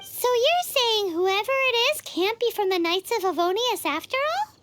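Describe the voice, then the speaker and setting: A woman voicing a small, childlike fairy companion in a video game, squeaky, excitable and blunt. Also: moderate pace, background noise. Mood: blunt, skeptical. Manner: exclamatory, blunt. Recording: music playing behind the voice.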